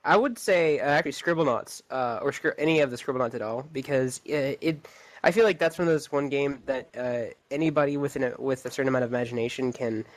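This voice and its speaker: A man's voice: medium pitch, fast. A man co-hosting a casual gaming podcast, rambling with many fillers. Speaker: podcast co-host. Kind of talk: casual chat.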